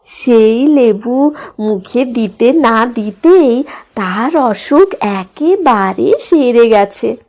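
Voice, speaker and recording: A woman narrates a fairy tale for children in a high-pitched voice. Her pace is very slow and deliberate. The delivery is expressive, scripted audiobook storytelling with a touch of drama.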